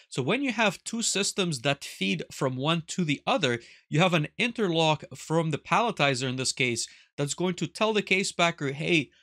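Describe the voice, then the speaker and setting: The crisp, forward, higher-pitched male voice you'd hear walking you through an online engineering course, recorded close and dry. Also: pushed and bright, no echo. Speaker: instructor. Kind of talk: tutorial narration with screen demonstration. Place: small indoor workshop room with wall of electronics.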